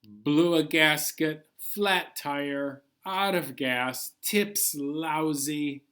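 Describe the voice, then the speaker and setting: A man reading a picture book aloud as a chatty, bemused New York City taxi driver, colloquial and animated. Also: on the high side, very slow, faint music behind. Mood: chatty, world-weary. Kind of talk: first-person picture book read-aloud.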